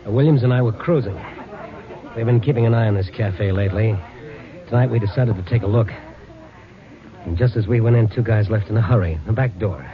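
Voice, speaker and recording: A man's voice: fairly low pitch, fairly quick, long pauses, crowd noise, lightly acted. An actor playing a wounded, weakened patrolman, stoic and plain-spoken, in a 1950s radio crime drama. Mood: weary, stoic. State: weak from a wound. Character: wounded male patrolman, weary but stoic. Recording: muffled, noisy old radio recording.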